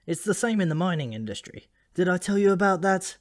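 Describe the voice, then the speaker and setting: A man's voice: high voice, lively intonation, a little fast, no echo, pitched above the performer's own voice. Man acting ancient male dwarf, gloomy, tipsy, rambling grumbler, comic fantasy audiobook, dry close sound. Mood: gloomy, grumbling. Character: ancient male sulphur dwarf, tipsy, dyspeptic, grudgingly friendly.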